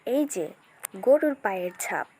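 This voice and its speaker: A woman voicing helpful village kid, unhurried, plain reassuring tone, cartoon story, faint noise. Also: medium pitch, animated, dark tone, pitched below the performer's own voice. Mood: purposeful. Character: helpful village children on a cow search. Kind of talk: children's cartoon story acting.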